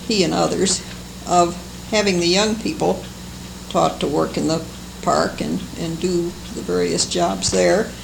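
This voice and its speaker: An older American woman recalling her life for an oral history, speaking in a halting, unhurried, fond way. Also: on the low side, gravelly. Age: older, seventies or above. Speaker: interviewee, longtime local resident. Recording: noisy amateur oral history recording.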